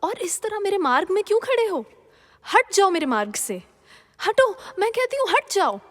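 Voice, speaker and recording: A woman's voice: extremely high pitch, big pitch swings, normal speed. A woman playing a young hermit's daughter, an indignant and frightened maiden in distress in a mythological audio drama. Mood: indignant, alarmed. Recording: long hall reverb.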